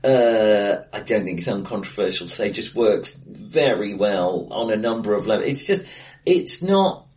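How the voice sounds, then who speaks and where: A man in a casual film discussion, talking in a hesitant, rambling way with frequent restarts, recorded in a bright-sounding room. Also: fairly low pitch, animated, normal speed, recorded from a distance, faint music behind. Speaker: co-host of a film discussion podcast. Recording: bright echoey room, off-mic.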